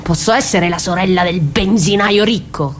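An actress playing a tender, dreamy, stubborn young sister in a stage drama, with a southern Italian accent. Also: female voice, on the low side, moderate pace, a little hiss or hum, close to an everyday voice. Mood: gentle teasing. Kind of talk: naturalistic stage drama acting.

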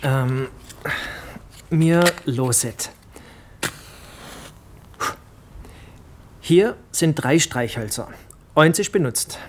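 A man's voice: medium pitch, animated, slow, slight background noise. An actor playing a blunt, pushy male investigator in a radio drama. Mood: impatient. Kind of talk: German radio drama acting.

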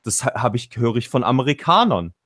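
A man's voice: medium pitch, big pitch swings, fairly quick, a loud crowd. Rambling Swabian-accented man on a call. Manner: rambling, self-interrupting, acts out quotes. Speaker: panel guest. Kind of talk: casual political chat. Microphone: video call.

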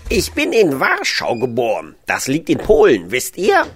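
Eine Frau spielt in einem Hörspiel einen freundlichen alten, aus Polen stammenden Gärtner, großväterlich und gemächlich plaudernd. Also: female voice, extremely low pitch, wildly swooping pitch, slight background noise, exaggerated voice. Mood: proud, nostalgic. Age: older. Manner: grandfatherly, unhurried, chatty. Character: kindly old Polish-born male gardener, widowed, reminiscing. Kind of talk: scripted audio drama acting.

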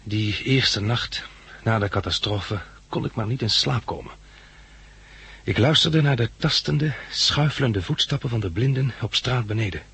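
An actor in a 1960s radio drama playing a thoughtful, cautious man who survived a catastrophe, speaking in a measured, deliberate way. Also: mid-range voice, animated, a bit bright, slightly rough, exaggerated voice. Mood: reflective narration. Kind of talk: 1960s radio drama acting, reflective narration. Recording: 1960s radio play with background noise.

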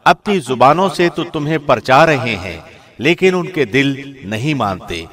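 A man reading a scripture translation in a formal, measured, solemn way, phrasing clearly like a professional radio presenter. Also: medium pitch, animated, fairly bright tone.

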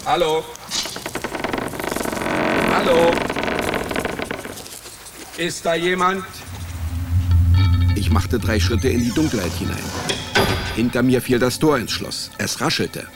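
An actor playing a wry, hapless male private detective, deadpan in his narration and stammering when cornered, in a radio drama. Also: medium pitch, slow pace, pauses often, over loud music. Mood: nervous, stammering. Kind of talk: radio drama, first-person hardboiled comic narration.

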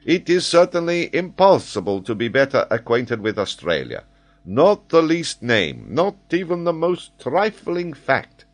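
A man voicing a proud, excitable French geographer from a storybook, pedantic and emphatic as he lectures. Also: mid-range voice, measured pace, pitched above the performer's own voice. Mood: triumphant.

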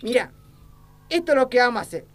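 A woman's voice: on the high side, big pitch swings, quick pace, background noise. A woman playing a crooked, lecherous, ignorant old small-town politician, with an Argentine accent and folksy, blustering talk in an amateur satirical sketch. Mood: bossy, scheming.

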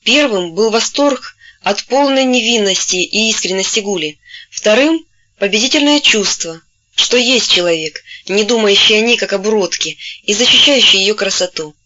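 A woman narrating a novel in a steady storytelling voice, gently taking on the characters' voices when they speak. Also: mid-range voice, moderate pace, highs cut off. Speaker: audiobook narrator. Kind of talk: scripted literary narration.

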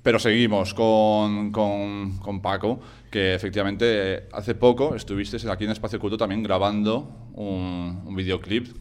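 A man's voice: lowish voice, steady tone, normal speed, hardly any pauses. A man hosting a community radio interview, asking questions in a friendly, conversational way with small hesitations. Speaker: radio host.

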